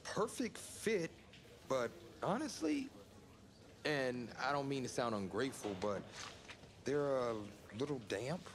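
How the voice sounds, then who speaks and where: A man's voice: medium pitch, sing-song, slow. A young man acting an eager, flustered football prospect in a video game cutscene, earnest and wry. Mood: wry, joking. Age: young adult.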